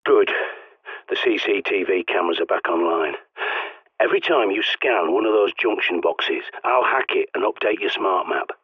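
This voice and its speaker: A gruff British survivalist man from a video game, talks over a thin, phone-like radio with no room around him. His voice sits fairly low, and he is blunt, paranoid and used to giving orders. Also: expressive, moderate pace, no echo. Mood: brisk, instructing. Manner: blunt, sweary, commanding. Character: gruff, bossy, paranoid male doomsday survivalist guiding a survivor. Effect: radio transmission filter. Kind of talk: video game character dialogue. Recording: narrow, phone-like radio sound.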